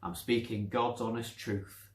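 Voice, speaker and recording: A man's voice: on the low side, fairly even intonation, measured pace, roomy sound, recorded from a distance, lightly acted. A man playing a stern, desperate ghostly husband with a Manchester accent, urgent and pleading, in a story reading. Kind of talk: story reading with character voices.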